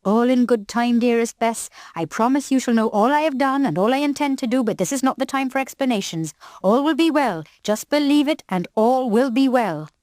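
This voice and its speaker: A playful ghost of a man who talks in archaic riddles, pitched somewhat high and lifted above the narrator's own voice, as a woman puts him on. The delivery is bright and reassuring in a dry, close sound. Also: animated, fairly quick, hardly any pauses, no room sound, natural voice. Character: playful ghostly male cousin, old-fashioned.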